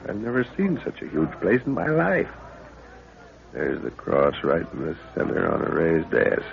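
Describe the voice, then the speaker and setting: A man acting a middle-aged, commanding, vengeful father who leads a jungle expedition in a 1930s radio adventure, gruff and authoritative. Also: sits low, wildly swooping pitch, moderate pace, heavily acted. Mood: tense, watchful. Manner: gruff, authoritative, clipped orders. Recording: muffled, noisy 1930s radio recording.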